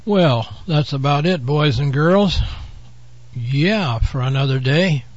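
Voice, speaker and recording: A man hosting his own show, hoarse from a head cold, rambling through an offhand monologue with frequent fillers. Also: fairly high pitch, expressive, slow pace, lo-fi sound. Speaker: host. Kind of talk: casual talk-show monologue.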